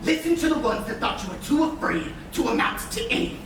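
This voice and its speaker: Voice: mid-range voice, lively intonation, fast talker, fairly bright tone, natural voice. Sly theatrical pirate villain, acted on stage, distant and echoing. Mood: taunting. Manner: mocking, grandiose, sneering delivery. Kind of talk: live stage play acting. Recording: echoey theatre, distant mics, noisy room.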